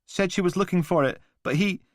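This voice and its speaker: A man's voice: high voice, brisk, no room sound, studio quality, voice pushed up. A man voicing a terrified young woman on a recorded emergency call, panicked and pleading.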